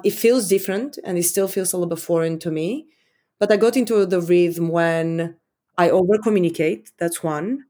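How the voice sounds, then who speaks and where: Romanian-born woman in her forties, lowish voice, reflective guest who circles back on her words. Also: a little mellow. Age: 40s. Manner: thoughtful, repeats words, many fillers. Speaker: company leader, guest. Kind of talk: interview conversation.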